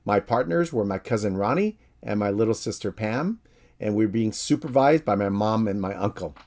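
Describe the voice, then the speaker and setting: Calm American professor, low mellow voice. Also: male voice. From San Francisco, USA. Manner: calm, friendly, measured explanation. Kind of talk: recorded course introduction.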